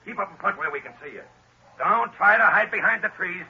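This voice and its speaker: A man's voice: sits high, lively intonation, fairly quick. An actor playing a cold, commanding male gang boss in a 1940s radio drama. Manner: gruff, commanding. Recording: dull lo-fi old radio recording with hiss.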